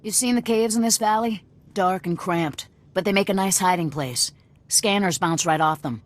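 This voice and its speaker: A woman's voice: mid-range voice, normal speed, natural voice. A woman playing a fanatical rebel cell commander in a video game, blunt, curt and threatening. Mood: impatient, threatening.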